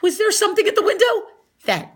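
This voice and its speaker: Woman, children's storyteller, very lively pitch swings, crisp forward voice, slight creak, teacherly and expressive. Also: fast talker. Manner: animated, acts out dialogue and comments on the pictures. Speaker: teacher reading a children's picture book aloud. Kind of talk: expressive read-aloud storytelling for young children.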